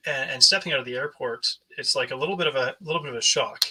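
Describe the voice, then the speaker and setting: Fast-talking bright-voiced male podcast host. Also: medium pitch, slightly rough, rough recording. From Canada. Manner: rambling, lots of fillers and restarts. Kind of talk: casual interview chat.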